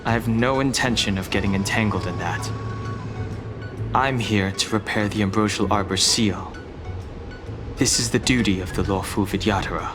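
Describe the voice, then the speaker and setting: A man's voice: lowish voice, moderate pace, long pauses, over music, close to an everyday voice. A man voicing a reserved, dutiful young dragon-horned man in a video game, speaking formally and earnestly. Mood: polite, composed.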